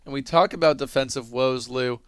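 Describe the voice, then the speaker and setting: A man's voice: mid-range voice, fairly quick, clear, resonant tone, dry recording. A man analyzing plays as a commentator on a live baseball broadcast.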